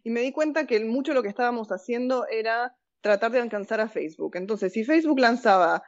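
Woman, Rioplatense accent, fairly high voice, casual anecdotes, slangy, dull lo-fi recording. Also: expressive, a little fast. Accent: Rioplatense Argentine Spanish. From born in Argentina, grew up in several countries. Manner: informal, slangy. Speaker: interview guest. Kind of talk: casual chat, personal anecdotes.

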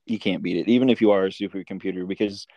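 A man's voice: sits low, steady tone, racing, no room sound, dull audio. An American man from the Midwest hosting a podcast in an informal, casual chat full of filler words like "like" and "you know". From Midwestern United States. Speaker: podcast host.

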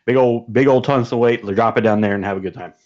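A man's voice: medium pitch, fast, darkish tone. A man as a podcast guest, telling stories casually in a colloquial, vivid and emphatic way over muffled livestream audio. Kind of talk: casual storytelling chat.

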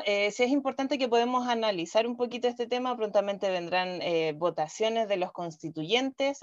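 A woman's voice: medium pitch, highs cut off. A woman, co-hosting a talk show, laughing easily and hesitating often. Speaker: co-host. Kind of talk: casual radio chat.

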